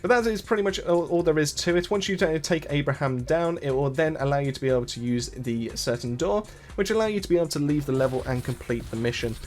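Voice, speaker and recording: A man narrates a casual video game walkthrough as a channel host. His voice sits a little higher than average and he talks at a rapid clip. He explains in a wordy way, often repeating and rephrasing himself. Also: darkish tone, faint music behind.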